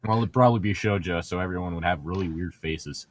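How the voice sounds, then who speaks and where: A man's voice: sits low, quick pace, a little hiss or hum. A man hosting a podcast, reading a novel aloud in a casual group chat, with a sarcastic, irreverent, crude sense of humour.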